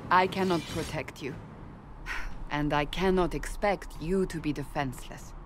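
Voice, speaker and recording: A woman's voice: low-pitched, normal speed, a little hiss or hum, close to an everyday voice. An actress playing a stern, guarded woman warrior in a video game, speaking in a grave, clipped, authoritative way.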